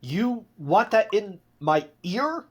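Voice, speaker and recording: A woman's voice: medium pitch, sing-song, slow pace. A woman playing a frightened, bewildered young investigator who is hesitant and incredulous, in an audio drama. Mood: bewildered disbelief. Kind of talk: fiction podcast audio drama acting.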